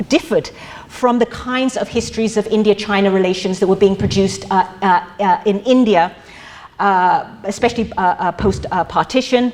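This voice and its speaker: A middle-aged woman gives an academic lecture in an auditorium, her voice at an ordinary middle pitch. She talks haltingly, full of fillers and restarts as she works through her points. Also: darkish tone. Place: museum auditorium.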